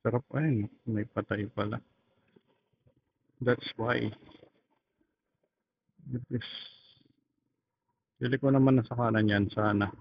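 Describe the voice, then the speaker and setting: A man's voice: medium pitch, steady tone, takes it slowly, long pauses. Filipino man rambling over a dull helmet mic. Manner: rambling, slangy, thinking aloud. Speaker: motorcycle vlogger. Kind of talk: casual motovlog chat while riding. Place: outdoors on a motorcycle in traffic. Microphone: helmet intercom microphone. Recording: dull intercom mic with road noise.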